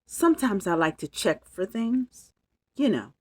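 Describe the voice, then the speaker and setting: A woman's voice: medium pitch, sing-song, measured pace, darkish tone, slightly rough. A woman voicing a small, nervous male mouse in a storybook read-aloud, polite and fussy. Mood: eager.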